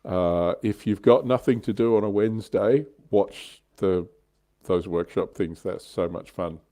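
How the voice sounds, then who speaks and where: A man with a low-pitched, soft-edged voice talks as a livestream co-host. He chats casually about his hobby, explaining his views with conviction in a dark, relaxed tone. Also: measured pace. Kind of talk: casual hobby chat about tabletop wargames.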